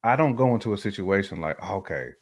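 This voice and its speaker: A man's voice: fairly low pitch, expressive, quick pace. A man giving his opinions in casual conversation as a podcast guest, emphatic and often repeating phrases for emphasis.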